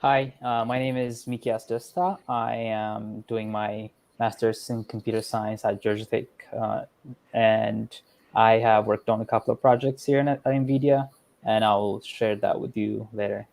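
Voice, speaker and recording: A young man introducing himself slowly and flatly, with a gravelly voice. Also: fairly low pitch, no room sound. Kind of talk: casual self-introduction.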